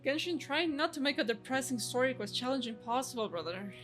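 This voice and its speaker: A woman's voice: sits high, animated, brisk, a bit bright, faint music behind. A woman doing casual live game commentary, with sarcastic, slangy asides and some swearing. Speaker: game streamer reacting to cutscenes.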